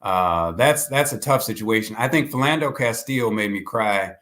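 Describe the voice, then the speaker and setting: A man speaking as a professor guest in conversational commentary, deliberately repeating words as he builds each point. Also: fairly low pitch, animated, fairly bright tone.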